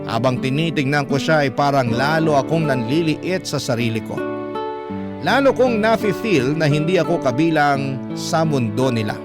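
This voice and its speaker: A man's voice: on the high side, expressive, moderate pace. A man narrating a confessional story in the first person as a radio host, dramatic, earnest and confiding. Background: music bed with plucked guitar.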